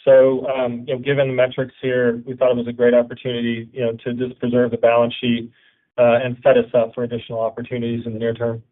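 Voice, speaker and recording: Male executive answering business questions off the cuff, flat intonation, telephone-band audio. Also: mid-range voice, fast. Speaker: company executive. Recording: narrow phone-like line.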